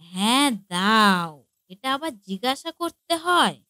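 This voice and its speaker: A woman's voice: a little high, very animated, unhurried, pushed and bright, no echo, clean studio sound, heavily acted. A woman voicing a nagging, exasperated middle-aged mother-in-law in a comedy cartoon, with a sarcastic, lamenting way of talking. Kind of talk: Bengali comedy cartoon dialogue.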